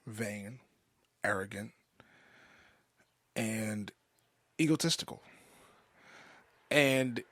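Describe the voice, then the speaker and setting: A man in his mid twenties giving a personal podcast monologue, halting and often restating himself for emphasis. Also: mid-range voice, fairly bright tone, no room sound. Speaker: podcast host. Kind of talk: personal monologue, confessional storytelling.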